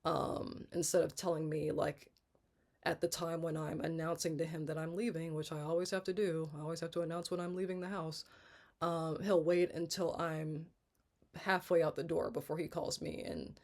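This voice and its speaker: A congested woman in her thirties talking candidly in a personal vlog, halting and rambling, often restarting and trailing off. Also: deep voice, fairly even intonation, vocal fry, darkish tone. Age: 30s. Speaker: vlogger.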